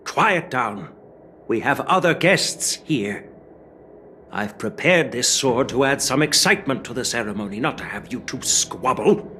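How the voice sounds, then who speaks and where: Wry, animated old elder. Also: male voice, medium pitch, measured pace, a little hiss or hum. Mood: admonishing. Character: genial older male elder, wry grandfatherly patron. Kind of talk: dubbed video game cutscene dialogue.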